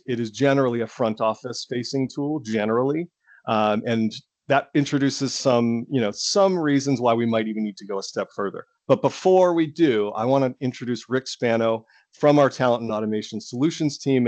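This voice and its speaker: A man presenting business software in an explanatory way, with somewhat hesitant phrasing. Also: medium pitch, no echo. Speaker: webinar presenter.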